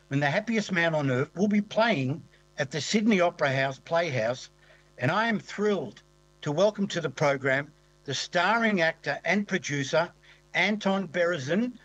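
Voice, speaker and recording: A man hosting a program, introducing his guest with measured enthusiasm in a polished presenter manner. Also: fairly high pitch, a bit bright, rough recording. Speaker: radio program host. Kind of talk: formal interview introduction.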